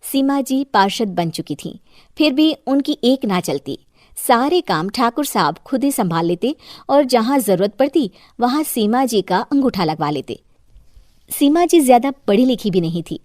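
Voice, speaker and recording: Woman, story narrator, scripted moral tale, moderately high pitch, dry close sound. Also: very animated, measured pace, darkish tone, no echo.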